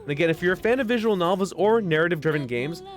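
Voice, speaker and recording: A man's voice: high voice, lively intonation, very fast. Man presenting a casual game review over music. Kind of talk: semi-scripted review voice-over. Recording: music under the voice.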